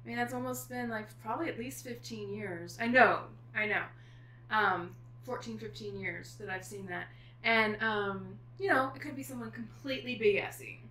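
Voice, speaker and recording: A middle-aged woman guest author chatting casually, telling stories in an animated way with thoughtful pauses. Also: medium pitch. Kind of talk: casual chat. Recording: echoey room with faint noise.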